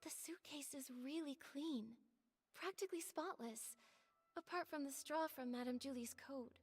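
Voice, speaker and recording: High-voiced earnest young heroine. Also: female voice, measured pace. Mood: pensive, earnest. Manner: thoughtful, earnest explaining. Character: gentle, earnest young female adventurer turned investigator. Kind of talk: video game voice acting.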